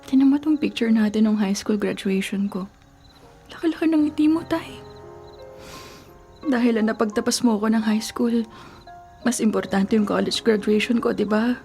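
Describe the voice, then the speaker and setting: A woman playing a grieving young college student and devoted girlfriend in a Filipino radio drama, speaking softly and emotionally, at times in whispers. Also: fairly high pitch, normal speed, vocal fry, pauses often, soft background music. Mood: grieving, tearful. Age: young adult. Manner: soft, emotional, breaking into whispers.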